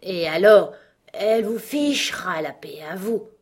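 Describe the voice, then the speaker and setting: A woman performs an ancient, grumbling storybook frog. The voice is husky and hoarse, dragging through rustic phrases in a slow drawl. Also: mid-range voice, animated, darkish tone. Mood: muttering.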